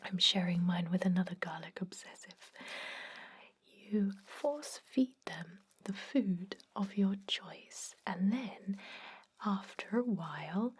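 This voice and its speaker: A woman playing a chatty but gently stern vampire dentist in a whispered ASMR roleplay, rambling in a confiding way with a breathy voice. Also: medium pitch, slow pace. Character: chatty long-lived female vampire dentist, garlic-obsessed, gently stern. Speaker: ASMR performer.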